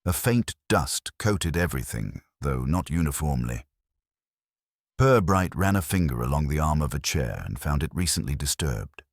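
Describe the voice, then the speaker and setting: A man's voice: very deep voice, very animated, moderate pace, no echo, studio quality. A man narrating a scripted mystery story as a storyteller.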